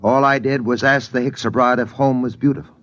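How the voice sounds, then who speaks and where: An actor playing a calm, knowing spaceman who explains things in measured 1950s radio drama style, a man. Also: medium pitch, lightly acted. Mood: knowing, sincere. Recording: dull old radio recording.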